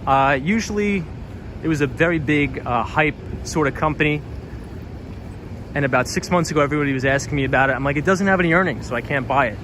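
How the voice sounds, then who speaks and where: A man's voice: on the high side, quick pace. A man hosting a live stream outdoors on a windy city street at night, chatting casually and reading out viewer comments, his voice a little tired. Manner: friendly, reads out viewer comments. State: voice slightly tired. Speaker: livestream host reading chat. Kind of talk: casual live-stream chat while walking. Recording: street stream with clear music behind.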